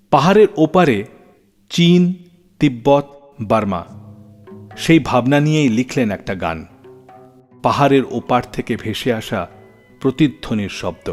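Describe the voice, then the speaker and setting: A man's voice: mid-range voice, darkish tone. A man hosting a radio show, telling stories in a scripted, dramatic style with rhetorical flourishes between songs. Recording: long reverb, music underneath. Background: background music.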